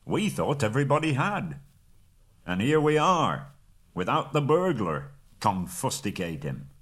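A man voicing a grumbling, put-upon male dwarf who complains loudly and indignantly in a storybook reading. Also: lowish voice, lively intonation, normal speed.

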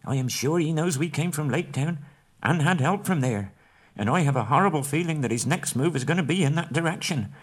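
Man doing a squeaky, nervous little hobbit. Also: expressive, slightly rough. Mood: worried. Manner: high squeaky voice, hurried and nervous. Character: small timid male hobbit, nervous and polite.

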